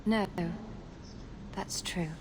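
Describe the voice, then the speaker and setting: A woman's voice: very slow, background noise. An actress playing a proud, remorseful noblewoman in a video game, speaking formally and earnestly. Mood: remorseful, resolute. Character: remorseful noblewoman freed from a demon's hold, proud.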